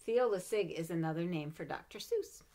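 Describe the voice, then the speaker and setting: A middle-aged woman reading a children's picture book aloud at home, expressive and animated, with playful asides between pages, recorded on a webcam. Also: lowish voice, normal speed. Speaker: storybook reader. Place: home room with bookshelves. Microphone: webcam at desk.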